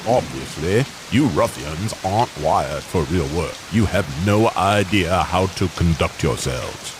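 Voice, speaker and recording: A man's voice: low-pitched, lively intonation, measured pace, background noise, pitched below the performer's own voice. A man voicing a snooty, haughty car alternator with a pompous, formal, scolding manner in a radio drama. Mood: haughty scorn.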